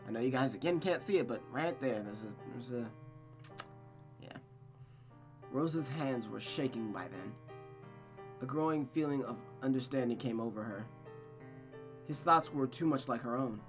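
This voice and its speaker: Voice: mid-range voice, animated, moderate pace, long pauses. A storyteller with a mid-range voice reads a visual novel aloud over music. The delivery is dramatic, with small stumbles, repeated phrases and casual asides.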